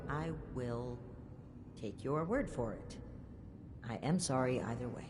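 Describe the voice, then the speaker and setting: A woman playing an earnest, principled military officer in a video game, speaking formally and deliberately. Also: very deep voice, lively intonation. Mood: apologetic. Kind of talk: scripted game dialogue. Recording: clear background noise, game ambience.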